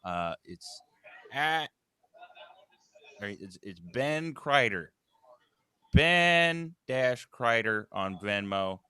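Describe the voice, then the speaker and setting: A man's voice: medium pitch, expressive, extremely slow, pauses often, pushed and bright, clear, resonant tone, no room sound, studio quality. A man hosting an informal live script read, talking casually and narrating stage directions. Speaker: host, writer and stage-direction reader of a live table read.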